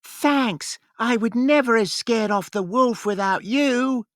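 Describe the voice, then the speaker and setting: Young shepherd boy, voiced by a woman, plain-spoken and kindly, higher voice, taking his time, for a children's storybook. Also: slow pace, no room sound, studio quality. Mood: grateful.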